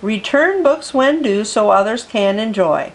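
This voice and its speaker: A woman's voice: a little high, lively intonation, a little slow. A woman voicing a strict school librarian. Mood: stern. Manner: stern, lecturing rules.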